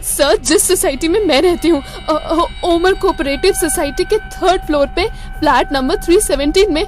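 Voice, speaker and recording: A woman's voice: very high voice, moderate pace. A woman with an Indian accent playing an anxious young female witness who hesitates and repeats words in a radio drama. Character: frightened young female witness, a call centre worker. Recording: music under the voice.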